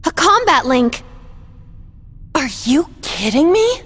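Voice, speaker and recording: A woman's voice: sits high, very animated, takes it slowly, fairly bright tone, full character voice. An actress playing a determined young woman in a video game, earnest and assertive, out to prove she has grown up. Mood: defiant. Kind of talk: English video game dub acting. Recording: echoey hall reverb.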